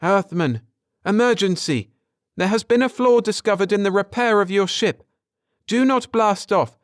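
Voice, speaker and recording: A man puts on an alien caller from a science fiction story, urgent and loud. The voice is pushed high and sounds frantic, exclaiming each warning. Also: animated, normal speed, dry recording, studio quality. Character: urgent alien voice warning an Earthman over a scanner screen.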